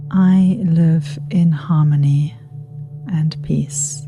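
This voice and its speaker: A woman leads a guided meditation in a low voice. She speaks very slowly and soothingly, letting phrases trail into soft breath. Music plays prominently behind her. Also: steady tone. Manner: soothing, unhurried, spaced-out phrases with repetition. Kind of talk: guided meditation with spoken affirmations. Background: meditation music with singing bowl.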